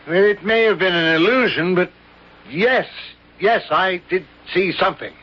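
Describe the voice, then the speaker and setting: A cautious, educated professor in a radio play, with a somewhat high male voice. He speaks formally and hesitantly, weighing his words, through a dull lo-fi recording. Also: normal speed. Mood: shaken, reluctant. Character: prudent, skeptical male college professor, shaken. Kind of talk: radio drama acting.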